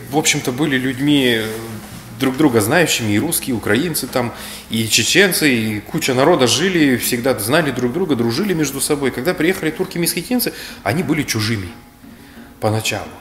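A man in his thirties from Kalmykia, speaking as a history speaker in a measured, lecturing way, seated in a bright indoor room. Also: medium pitch, expressive. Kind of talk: reflective explanatory talk. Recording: roomy echo, faint music.

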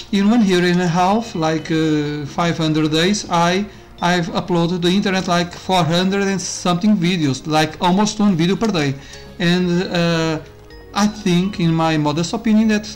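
A man's voice: sits high, rough recording. Casting note: man, chatty game commentary, loose and rambling, with faint game music underneath. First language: Portuguese. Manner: rambling, self-interrupting, with frequent fillers and laughs. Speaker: YouTuber reviewing a game. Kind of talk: casual gameplay commentary.

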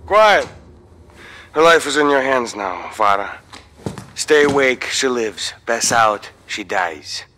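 A man's voice: mid-range voice, big pitch swings, slow, bright, forceful tone, slight background noise, heavily acted. An actor playing a cruel, menacing middle-aged Russian general in a video game, taunting as he interrogates, with a Russian accent. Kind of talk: cinematic video game acting.

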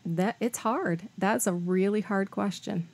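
A woman's voice: mid-range voice, unhurried, vocal fry, darkish tone, no echo. A woman speaking as a podcast guest on faith, in a thoughtful, conversational way.

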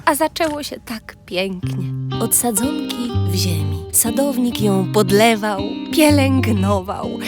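A bossy little goat schoolgirl in a children's radio play. Her voice is high and swoops widely with theatrical, self-important sternness. Music plays behind her. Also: expressive, slow pace. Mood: wistful, reproachful. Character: bossy, officious young schoolgirl goat on duty.

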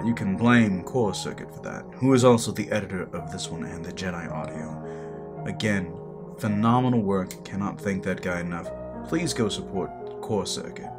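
A man who makes roleplay audios, chatting casually to his listeners in an outro. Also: on the low side. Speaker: audio roleplay creator and performer.

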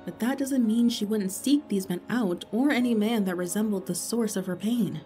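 A woman's voice: medium pitch, moderate pace, warm tone, soft background music, lightly acted. A woman acting a suspicious, wry police investigator in an audio drama, matter-of-fact and dry.

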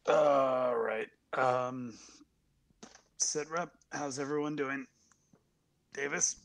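A man's voice: medium pitch, steady tone, extremely slow, gravelly, fairly bright tone. A man improvising as a ship's captain in a tabletop roleplay, giving businesslike orders with some hesitation. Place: indoors, group video call.

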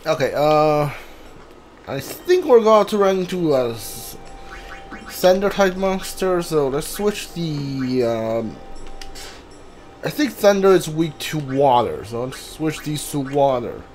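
A man's voice: a little high, sing-song, slow pace, a little mellow. A man giving casual video game commentary, thinking aloud and reading text from the screen. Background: game music.

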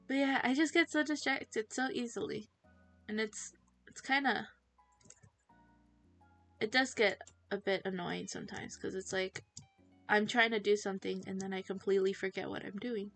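A woman's voice: mid-range voice, a bit bright. Teen girl streamer, chatty and giggly, rambles while playing, desk mic, faint game music underneath. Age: teens. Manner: chatty, giggly, self-distracted, quick to laugh. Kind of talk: casual livestream chat while gaming.

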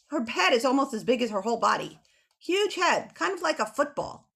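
High-voiced middle-aged woman teaching kids. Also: a little fast, vocal fry. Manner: animated, simple kid-directed explanations with emphatic words. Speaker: children's author and illustrator leading a drawing lesson. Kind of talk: children's drawing lesson, talking to kids. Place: small home room with bookshelves. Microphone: computer mic on a video call.

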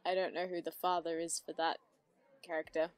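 A woman's voice: on the low side, little pitch movement, fairly quick. A woman commentator reacting casually to a cartoon in loose, chatty commentary.

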